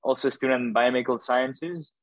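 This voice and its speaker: A man's voice: medium pitch, fast, dry recording. A man, a doctoral student answering interview questions in a hesitant way, full of fillers and restarts. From France. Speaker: podcast guest, doctoral student.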